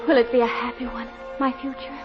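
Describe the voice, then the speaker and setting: A woman's voice: fairly high pitch, little pitch movement, quick pace, over music, natural voice. An actress playing a trusting young blind peasant girl in an old radio drama, earnest and dreamy. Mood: hopeful. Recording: dull vintage radio recording.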